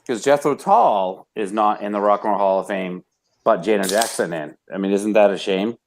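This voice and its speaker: A man's voice: lowish voice, moderate pace, creaky, slight background noise. A man chatting casually as a panelist, opinionated and slangy in his way of talking. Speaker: talk show panelist. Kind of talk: casual chat.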